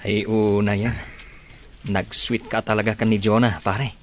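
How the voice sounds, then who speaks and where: A man's voice: fairly low pitch, level tone, a little slow, a little hiss or hum, natural voice. A man playing a hopeful, lovelorn young buddy who teases, in an Ilocano radio drama. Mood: teasing, wistful.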